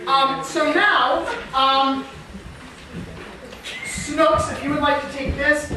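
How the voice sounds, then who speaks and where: A woman's voice: high-pitched, steady tone, slow pace. A woman hosting an improvised staged reading, announcing live to an audience from a stage. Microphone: distant recording microphone. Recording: echoey hall from far off, noisy audience. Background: crowd laughter and noise.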